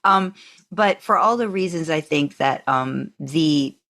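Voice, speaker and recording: A woman with a low-pitched voice talks as a podcast co-host. Her style is casual fan chat, and she lays out her thoughts in a measured, agreeable manner. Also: expressive, fairly bright tone.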